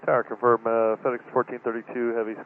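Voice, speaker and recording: A male pilot with a medium-pitched voice reads back clearances in clipped phrases. He talks fast, and the radio channel is thin and narrow. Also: level tone. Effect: aviation radio. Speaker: cargo airline pilot. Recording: tinny, noisy cockpit radio transmission.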